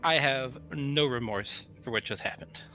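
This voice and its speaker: A man's voice: mid-range voice, unhurried, bright, forceful tone, muffled recording, faint music behind. A man playing a tabletop game, bantering casually with a teasing, mock-callous manner. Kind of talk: casual banter, partly in character.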